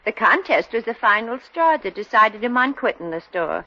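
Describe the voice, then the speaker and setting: A woman's voice: fairly high pitch, sing-song, normal speed, bright, forceful tone, exaggerated voice. An actress playing a wry, motherly older woman who delivers dry quips in 1940s radio comedy style. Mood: sympathetic. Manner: dry, quipping. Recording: muffled old radio recording.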